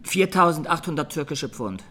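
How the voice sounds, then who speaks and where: An actor playing a young Armenian defendant, plain-spoken and haunted, giving measured testimony in a radio courtroom play. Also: male voice, fairly high pitch, lively intonation, pushed and bright. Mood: subdued, factual.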